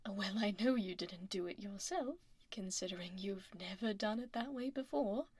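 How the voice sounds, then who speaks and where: A woman playing a powerful, composed dragon executive in an ASMR roleplay, speaking softly and breathily up close with a poised, gently commanding manner. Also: medium pitch, moderate pace, husky, dry recording, close to an everyday voice. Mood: composed, teasing. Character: powerful composed female dragon executive boss, teasing, exacting. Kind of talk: soft-spoken ASMR roleplay. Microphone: close binaural microphone. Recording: dry close binaural studio recording.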